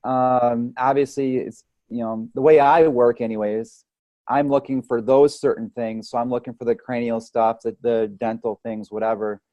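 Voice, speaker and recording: A man's voice: mid-range voice, steady tone, normal speed, dark tone, no room sound, highs cut off. A man speaking conversationally as an interview guest, explaining things through analogies and often checking in with "right?". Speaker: chiropractic or holistic health practitioner, podcast guest.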